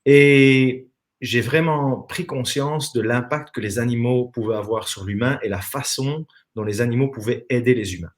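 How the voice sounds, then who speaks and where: A man's voice: medium pitch, moderate pace. A man from Belgium with a Belgian French accent, telling his story as a guest in a casual online interview over a video call, earnest and reflective. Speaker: interview guest, former professional dancer.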